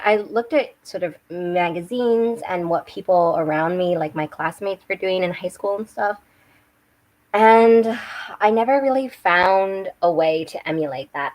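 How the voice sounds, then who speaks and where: A young woman with a slightly hoarse voice, chatting casually and rambling a little on a home live stream while doing her makeup. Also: fairly low pitch, rough recording. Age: young adult. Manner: rambling, chatty, with restarts and self-corrections. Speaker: book and makeup channel host. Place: home room with bookshelves. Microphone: webcam.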